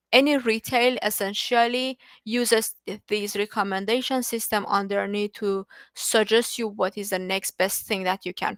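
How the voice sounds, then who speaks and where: A woman's voice: medium pitch, a bit bright, no echo. A woman whose first language is Persian, presenting an online technical lecture in an explanatory way, with frequent restarts and filler words. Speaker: study group presenter.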